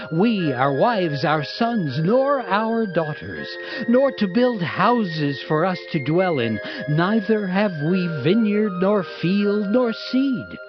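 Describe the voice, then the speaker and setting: Slow, solemn biblical tribesperson. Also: mid-range voice. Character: solemn, dutiful Rechabite tribesmen speaking as one, biblical. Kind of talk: dramatized scripture reading. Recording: dull audio with prominent music behind.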